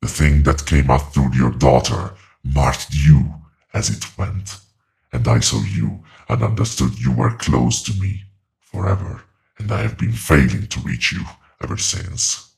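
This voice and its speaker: An actor playing an ancient otherworldly being in a horror audio drama, solemn and coldly knowing, speaking in slow, deliberate, ominous pronouncements. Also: male voice, lively intonation, a little mellow, heavily acted. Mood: solemn, confessional. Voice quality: very deep, gravelly creaking voice.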